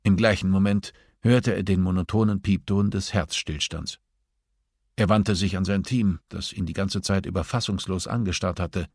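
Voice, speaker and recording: A man narrates a thriller audiobook from a script. His voice is on the low side with a husky grain, and the recording is very dry, free of room sound. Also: steady tone, moderate pace, a little mellow, no echo.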